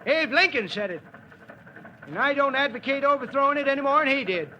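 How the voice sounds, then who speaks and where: Casting: crotchety folksy radical for 1940s radio play, piercingly high, forceful. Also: male voice, expressive, fairly quick, full character voice. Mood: defiant. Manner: crotchety, combative, colloquial. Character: cantankerous small-town anarchist man, argumentative and folksy. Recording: dull, noisy 1940s radio recording.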